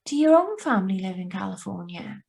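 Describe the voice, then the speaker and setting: A woman's voice: mid-range voice, sing-song, a little fast, creaky, a little mellow. A woman playing a troubled, hesitant young woman who survived an accident, in a radio drama read over a video call. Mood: polite, gentle. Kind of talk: radio drama acting with first-person narration. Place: remote, on a Zoom video call. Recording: live video call reading.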